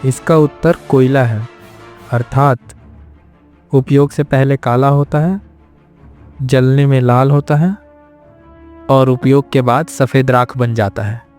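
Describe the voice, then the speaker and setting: A man voicing a brave, polite hero with an earnest, deliberate manner in a cartoon moral story. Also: mid-range voice, fairly even intonation, a little mellow, soft background music, natural voice. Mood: assured. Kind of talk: animated moral story acting.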